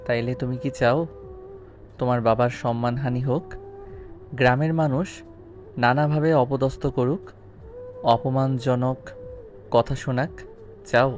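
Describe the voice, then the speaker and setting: A man plays a stern schoolteacher pushed into marriage, in a romantic audio story. His voice is mid-pitched, firm and lecturing, with soft music underneath. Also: steady tone, a little slow, pauses often, natural voice. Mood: stern, scolding. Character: stern, reluctant schoolteacher groom, resigned and blunt. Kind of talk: Bengali audio story acting.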